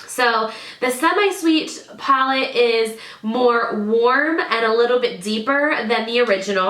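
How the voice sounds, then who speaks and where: A woman in her thirties gives a chatty beauty review to camera at home, her voice slightly nasal while she gets over a cold. Her tone is bright and forward, sitting a little high, and she doubles up words for emphasis. The room around her has a noticeable echo. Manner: chatty, enthusiastic, stresses words by repeating them. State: recovering from illness. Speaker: beauty YouTuber. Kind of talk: casual product review to camera. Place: home room with drawer units, indoors. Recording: echoey room.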